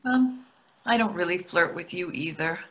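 A woman's voice: on the low side, animated, normal speed, a bit bright. A woman in her sixties playing a practical, self-deprecating, reassuring wife in a play reading over a video call, dry and matter-of-fact. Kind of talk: readers theatre play reading. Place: plain room indoors. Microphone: computer mic on a video call.

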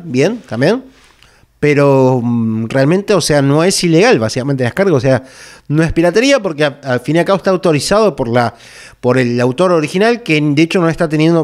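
Halting man. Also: a little high, a little mellow. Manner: halting, repetitive, with frequent tag questions. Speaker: video creator. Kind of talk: casual unscripted talk to camera. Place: small bare indoor room. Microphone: microphone on a boom arm, close.

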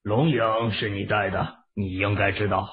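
A man's voice: fairly low pitch, little pitch movement, normal speed, phone-quality sound, lightly acted. A man voicing a hearty, crafty elderly military leader in an audio novel. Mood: grave.

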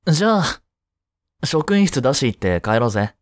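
A man's voice: mid-range voice, very animated, normal speed, dry recording, clean studio sound, lightly acted. A young man playing a laid-back, protective teenage childhood friend in a casual voice drama, speaking bluntly and teasingly as if to the listener. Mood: playful, casual. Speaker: voice actor. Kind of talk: first-person voice drama, casual chat to the listener.

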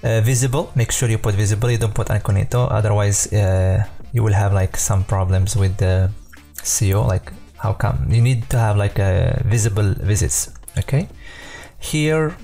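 Mellow-voiced man explaining software casually. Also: lowish voice, moderate pace, gravelly, hardly any pauses, soft background music. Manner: loose and informal, many fillers and self-corrections. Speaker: software tutorial presenter. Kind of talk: casual screen-recorded tutorial.

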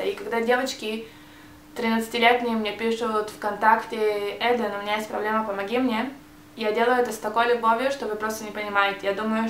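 A woman's voice: on the high side, roomy sound, a little hiss or hum. A young woman with a Hebrew accent, speaking Russian as a second language in a casual tag video, often hesitating and restarting words. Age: young adult. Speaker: vlogger. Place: small bright room, indoors. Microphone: camera mic, seated facing camera.

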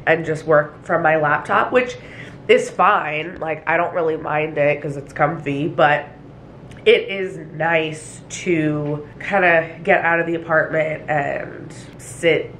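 Young female vlogger, congested and nasal, casual filler-heavy chat, slightly low voice. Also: steady tone, takes it slowly, fairly bright tone, slight background noise. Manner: chatty, lots of fillers. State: stuffy nose from allergies. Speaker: vlogger and hairstylist. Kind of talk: casual talk to camera. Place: small apartment, kitchen and bathroom. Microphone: handheld camera at arm's length or tripod.

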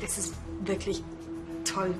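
A woman plays a scheming young designer in a TV crime comedy. Her voice is mid-pitched, with prominent music behind it. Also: completely flat delivery, slow pace, close to an everyday voice. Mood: falsely friendly.

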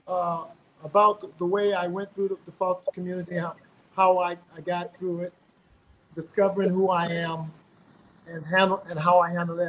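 Man, high voice, earnest, repeats words, video call, faint background hum. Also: low-quality recording. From South Carolina, US. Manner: earnest, repeats words while finding his thoughts. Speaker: guest, singer. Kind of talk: casual interview. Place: home room indoors.

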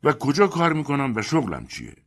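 A man's voice: medium pitch, huge pitch swings, fairly bright tone, voice pushed up, heavily acted. A man voicing a proud, touchy middle-aged man who talks in a haughty, declaiming way.

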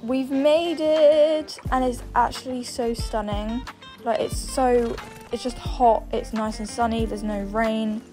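A woman giving casual, excitable commentary as she role-plays in a video game, exclaiming often. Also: fairly high pitch, takes it slowly, music in the background. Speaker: gaming video creator.

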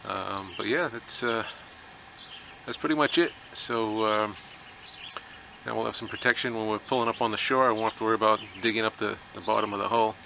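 A middle-aged man casually explaining a do-it-yourself boat repair, relaxed and plainspoken with lots of fillers, recorded outdoors in a rural driveway. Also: lowish voice, a little fast, creaky, a little hiss or hum. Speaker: DIY workshop vlogger. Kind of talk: casual how-to narration. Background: birds and outdoor ambience.

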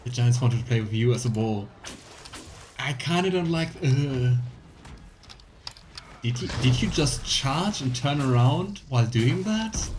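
A man's voice: medium pitch, background noise. A young man giving casual, playful live commentary as he plays a video game, chatting to the game and viewers. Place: small indoor room at home. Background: game music.

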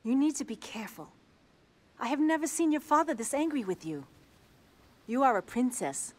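A woman's voice: high voice, big pitch swings, moderate pace. An actress plays a loyal, cautious female bodyguard in a video game, earnest and formal in her restrained delivery. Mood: admonishing. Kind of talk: video game cutscene acting.

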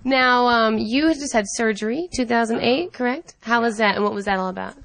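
A woman's voice: fairly high pitch, fairly quick, creaky, a crowd in the background. A young woman interviewing a band, asking playful, bubbly questions into a handheld mic. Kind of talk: upbeat music TV interview. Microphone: handheld station mic.

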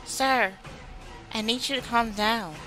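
A woman playing a polite but firm pony hospital receptionist in a horror audio story, speaking in a measured way. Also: a little high, bright, forceful tone, soft background music, poor audio.

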